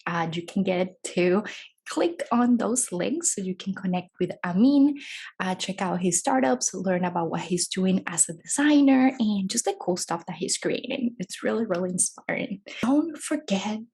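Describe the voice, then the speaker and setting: A woman presents a podcast in a chatty, conversational style. Her voice sits at a medium pitch with a husky edge. She speaks with effusive enthusiasm as host. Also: animated, moderate pace, darkish tone.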